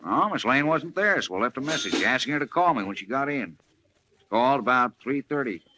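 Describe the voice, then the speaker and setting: An actor playing a breezy, wisecracking small-town reporter in a 1940s-style radio drama. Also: male voice, mid-range voice, animated, a little fast, close to an everyday voice. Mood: casual. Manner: breezy, informal. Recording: noisy old-time radio recording.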